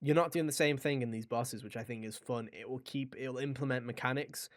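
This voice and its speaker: A man's voice: mid-range voice, brisk. A man co-hosting a gaming podcast, chatting casually and enthusiastically in a joking, sweary way. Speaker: podcast host and game reviewer.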